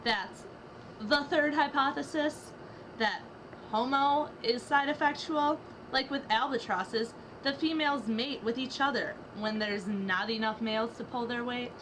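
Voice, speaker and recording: A young woman with a high, light voice reads rap verses in a rhythmic, dramatic way, swapping lines back and forth with a partner. The homemade recording carries a steady wash of hiss and room noise underneath her. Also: unhurried, low-quality recording. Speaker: student reader. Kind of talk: dramatic reading of rap lyrics.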